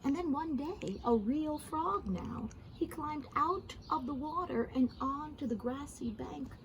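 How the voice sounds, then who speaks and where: A woman reading a children's story aloud expressively, pausing for gentle asides to young listeners. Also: high-pitched, lively intonation, highs cut off, slight background noise. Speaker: storybook reader.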